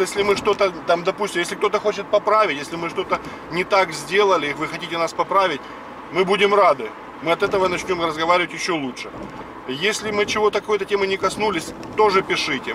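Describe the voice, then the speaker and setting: 50s guy explaining words while he drives, slightly high voice, dashcam mic far off, loud road noise. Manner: chatty, explains word by word. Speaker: language lesson host. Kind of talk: casual spoken lesson while driving. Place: car on the road. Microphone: dashboard camera.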